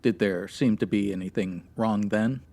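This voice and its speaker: An actor playing a no-nonsense 1950s American police sergeant, deadpan and clipped, in old radio detective style. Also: male voice, lowish voice, moderate pace. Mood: businesslike, questioning.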